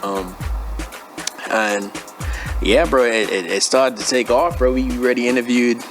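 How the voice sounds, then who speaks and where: A young man from the Bahamas speaks with a Bahamian accent in a casual interview. His talk is laid-back and slangy, peppered with bro, at a middling pitch. Music plays behind his voice. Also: steady tone, a little slow. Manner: laid-back, slangy, frequently says bro and you know what I mean. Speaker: interview guest, former athlete and brand owner. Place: studio.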